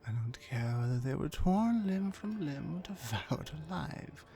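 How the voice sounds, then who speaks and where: A woman playing a dominant, mean orc warrior in an ASMR roleplay, mocking and deliberate, with drops into breathy whispers. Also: deep voice, big pitch swings, warm tone, exaggerated voice. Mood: coldly sarcastic. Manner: menacing, mocking, slow and deliberate, often dropping to breathy whispers. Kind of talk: ASMR roleplay, slow dramatic monologue.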